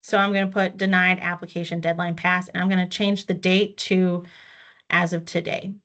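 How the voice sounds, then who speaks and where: A woman's voice: lowish voice, little pitch movement, normal speed, fairly bright tone. Woman trainer, patient and methodical, explains steps one at a time, slightly muffled video-call audio. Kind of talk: online training walkthrough. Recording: dull lo-fi audio.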